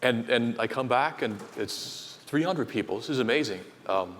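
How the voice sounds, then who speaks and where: A man from Finland giving a technical conference talk in a large hall, speaking haltingly with many fillers and repeated words. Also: medium pitch, expressive, slight background noise. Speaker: conference speaker, associate professor. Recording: reverberant hall, faint ambience.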